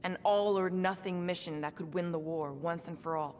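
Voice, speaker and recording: Woman playing serious assassin lady in a game, lowish voice, dry and guarded, reverby with faint noise. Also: fairly even intonation, a little mellow, lightly acted. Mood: grave. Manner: measured, dry, deliberate. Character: guarded, serious female assassin, deadliest in the galaxy. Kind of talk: dramatic video game acting.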